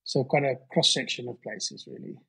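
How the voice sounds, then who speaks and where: A middle-aged man with a Southern African English accent answers interview questions in a husky, slightly breathy voice. He speaks thoughtfully and modestly, often pausing to restart a phrase. His pitch sits in the ordinary middle for a man. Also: sing-song, a bit bright. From Zambia and Zimbabwe. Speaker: conservation biologist guest. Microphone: calling in remotely.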